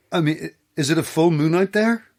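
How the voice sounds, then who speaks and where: A man's voice: a little high, normal speed, natural voice. An actor playing a brusque, sceptical male radio host in an audio horror drama. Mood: indignant. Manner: brusque, exasperated, sardonic.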